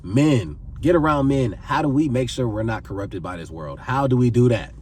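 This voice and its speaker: A man's voice: mid-range voice, expressive, moderate pace, a little mellow, distant mic, slight background noise. A man in his twenties giving earnest advice to camera, pleading and repeating phrases for emphasis. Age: 20s. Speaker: vlogger giving life advice.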